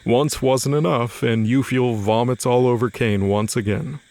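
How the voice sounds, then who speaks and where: A man's voice: medium pitch, fairly even intonation, a little slow, darkish tone. A man reading scripted narration for an audio drama in a dramatic storytelling style. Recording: faint music behind the voice.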